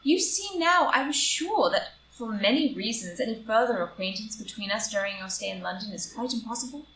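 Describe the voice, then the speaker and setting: Upright, morally rigid society lady played by a woman, stern and animated, heard from afar in a roomy live reading. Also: on the high side, fairly quick. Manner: earnest, morally rigid delivery. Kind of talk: stage play read as audio drama. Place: indoor room, live group reading. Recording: roomy, distant live reading, faint noise.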